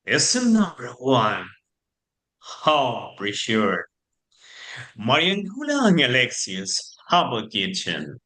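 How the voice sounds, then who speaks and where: Male storyteller: theatrical, animated intonation, forceful bright voice, halting non-native English delivery. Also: medium pitch. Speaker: narrator. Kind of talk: theatrical scripted narration.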